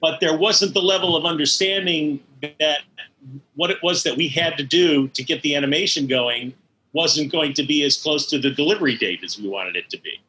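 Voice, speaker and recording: A man's voice: a little high, moderate pace, sharp tone, a little hiss or hum, low-quality recording. A middle-aged or older man, an interview guest recalling his work in animation with emphatic enthusiasm. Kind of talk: conversational recollection.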